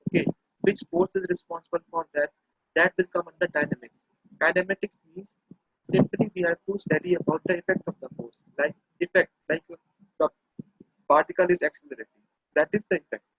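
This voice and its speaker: A man with a high, gravelly and husky voice teaches physics over an online class. He keeps repeating himself and saying "okay" as he explains. The sound is dry, muffled and lo-fi, like a call. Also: sing-song, a little fast, fairly bright tone, no echo. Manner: repetitive, restarts phrases, checks in with "okay". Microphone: computer or phone mic over internet call.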